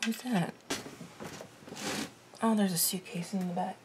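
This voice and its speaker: A raspy-voiced young woman chatting casually to camera from her bedroom, reacting to a TV show and swearing under her breath at small mishaps. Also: mid-range voice, steady tone, unhurried, noisy background. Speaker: TV reaction vlogger. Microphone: laptop mic.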